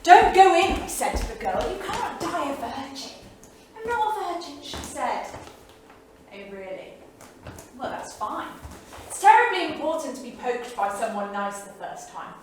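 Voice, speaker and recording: A woman's voice: sits high, very animated, unhurried. An actress playing a frank, flamboyant bohemian woman of the 1930s in a vivid, theatrical staged monologue. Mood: brisk, candid. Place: theatre studio stage, far microphone. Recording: distant echoey theatre studio, faint noise.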